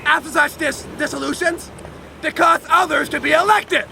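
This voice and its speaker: A man giving a loud, dramatic, emphatic reading of a historical document outdoors, with deliberate phrasing. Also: very high-pitched, fairly even intonation, gravelly. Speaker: reader at an outdoor public reading. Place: outdoors on a lakeside beach. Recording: outdoor crowd and motorboat noise.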